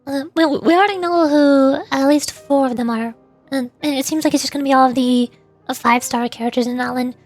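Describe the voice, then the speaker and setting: A woman's voice: high voice, brisk, soft background music. A woman streamer giving chatty, rambling, casual commentary on a game. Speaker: streamer, VTuber.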